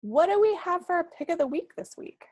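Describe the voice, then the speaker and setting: A woman co-hosting a podcast, chatting casually and telling stories in a colloquial, expressive way. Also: very high-pitched, brisk, no echo, studio quality. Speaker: podcast co-host. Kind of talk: casual chat, personal anecdote.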